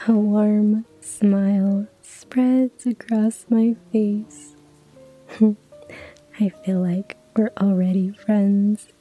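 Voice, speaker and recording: Slow, whispering woman, ASMR storytelling. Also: mid-range voice, steady tone, phone-quality sound, soft background music. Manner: slow, hushed whisper with soft laughs. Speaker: narrator.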